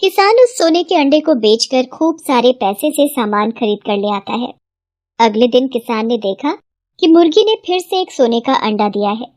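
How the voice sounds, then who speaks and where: Lady telling a kids bedtime fable, high voice, dry close recording, scripted. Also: lively intonation, moderate pace, a little mellow, no room sound. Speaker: story narrator. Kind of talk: scripted moral storytelling for children.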